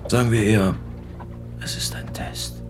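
An actor playing a cool, calculating crime boss in a radio crime drama, with a deliberate, menacing way of talking. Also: male voice, on the low side, steady tone, in a whisper, lightly acted. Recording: background music under dialogue.

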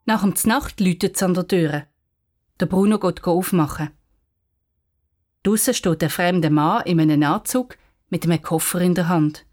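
A woman narrator reading a scripted children's story. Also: lowish voice. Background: music.

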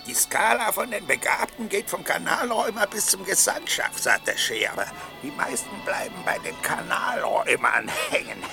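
A man's voice: high voice, big pitch swings, normal speed, vocal fry, hardly any pauses, heavily acted. An actor playing a talkative, mocking male violet seller in a 1960s German radio play, with theatrical, sing-song hawker's cries. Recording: 1960s radio recording, music behind.

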